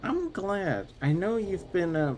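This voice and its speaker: Slow-talking streamer man, French native speaker. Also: high voice, sing-song, a little mellow, a little hiss or hum. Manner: bursts of exclamations, swears, chats with viewers. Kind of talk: casual live gameplay commentary.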